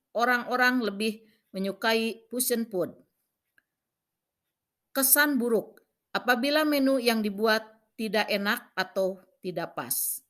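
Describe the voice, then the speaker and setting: A woman, a teacher, narrating a scripted lesson and reading out points in short, measured, instructional phrases. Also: a little high, a bit bright. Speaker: vocational school cooking teacher.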